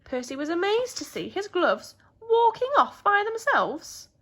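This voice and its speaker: A woman's voice: very high voice, sing-song, measured pace, a bit bright. A woman with a British accent, a primary school teacher telling a story expressively to young children.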